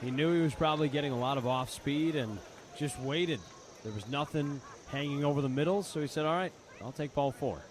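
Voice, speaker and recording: A man's voice: a little high, fairly quick. A man giving conversational, explanatory color commentary during a live baseball broadcast. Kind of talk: live analytical sports commentary. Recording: clear ambient ballpark noise.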